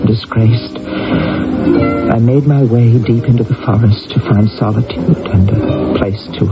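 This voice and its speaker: A woman's voice: rumbling low, steady tone, takes it slowly, loud music behind the voice. An actress playing a proud, vengeful warrior queen of ancient Britain in an imperious, theatrical radio drama style. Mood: bitter grief. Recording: dull vintage radio broadcast recording.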